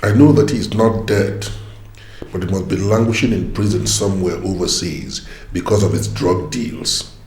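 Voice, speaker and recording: A man's voice: sits low, normal speed, darkish tone, echoey room, slight background noise, close to an everyday voice. An actor playing a stern, devout middle-aged father who lectures his family.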